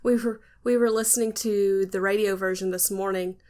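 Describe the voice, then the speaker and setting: A woman's voice: medium pitch, steady tone, moderate pace, darkish tone. A woman co-hosting a podcast in casual chat, with an informal, playful way of chiming in. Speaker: podcast co-host.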